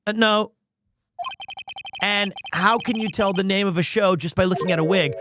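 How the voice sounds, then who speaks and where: A man's voice: high-pitched, normal speed, background noise, natural voice. A man playing a wry, exasperated young lawyer. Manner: wry, matter-of-fact. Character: exasperated, dry-witted young male defense lawyer. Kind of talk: video game dialogue and inner monologue read aloud.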